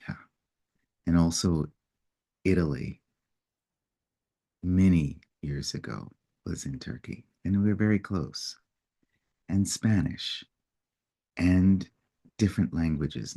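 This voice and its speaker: A man speaking in an unhurried, reflective way as he tells a personal story, now and then acting out what people said. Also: low voice. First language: English. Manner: unhurried, reflective storytelling with lively acted-out quotes. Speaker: personal development facilitator.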